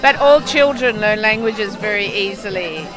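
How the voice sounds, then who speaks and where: A woman's voice: medium pitch, normal speed, music in the background, a crowd in the background, poor audio. A woman with an Australian accent, a university language teacher, explaining at length in a casual interview.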